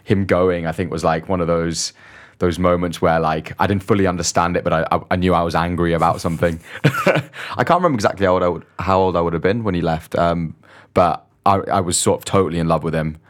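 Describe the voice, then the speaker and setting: Young man, very low voice, hesitant podcast guest, lots of restarts. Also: quick pace. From grew up mostly in Dubai, born in London. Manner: hesitant, many restarts and fillers. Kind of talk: casual interview chat.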